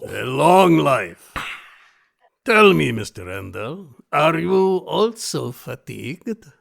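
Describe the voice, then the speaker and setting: Gravelly, courtly villain, slow and menacing. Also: male voice, fairly high pitch, big pitch swings, fairly bright tone, heavily acted. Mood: smooth menace. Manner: courtly, formal, theatrical. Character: suave, menacing gentleman host and hunter. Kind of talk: audio drama acting.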